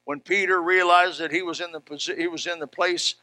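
A man preaching an animated sermon in a folksy, emphatic manner, exclaiming and repeating phrases as he tells stories. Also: high-pitched, brisk, no room sound. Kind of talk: animated Bible sermon with personal anecdotes.

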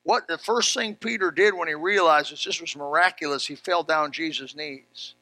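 A male preacher with a high, forceful voice delivers a Bible sermon. His manner is folksy and emphatic, repeating key phrases for weight. The sound is close and dry. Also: normal speed, no room sound, poor audio. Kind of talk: animated Bible sermon with personal anecdotes.

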